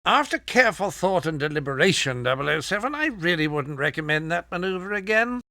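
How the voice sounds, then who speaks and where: A fussy gadget expert, nagging a spy in a video game. The voice is high for a man and bright, prim and dryly sarcastic, in a close, dry recording. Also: sing-song, fairly quick, no echo, exaggerated voice. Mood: dry reproach. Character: fussy, exasperated male gadget master advising a spy. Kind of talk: scripted video game voice acting.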